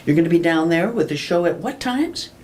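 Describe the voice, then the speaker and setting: A woman hosting a casual radio interview, chatty and laughing easily, her voice unusually low for a woman. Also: expressive, a little fast, a little mellow, a little hiss or hum. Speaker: radio show host.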